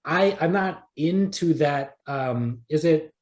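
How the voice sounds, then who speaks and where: Higher-voiced man in an echoey room. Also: expressive, slow, a little mellow. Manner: conversational, thinking aloud, rephrasing as he goes. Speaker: interviewed writer. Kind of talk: casual podcast interview. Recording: roomy, echoey sound.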